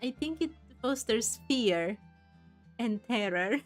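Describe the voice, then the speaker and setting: A woman gives casual, reactive game commentary in a high voice. She muses aloud and reads game text, with faint music behind her. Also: animated, takes it slowly. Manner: reactive, often amused, musing aloud. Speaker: gameplay streamer.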